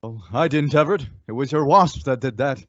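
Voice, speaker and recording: An actor playing a calm, resolute western hero in an old-time radio style, measured and instructive. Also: male voice, medium pitch, no room sound, muffled recording, lightly acted.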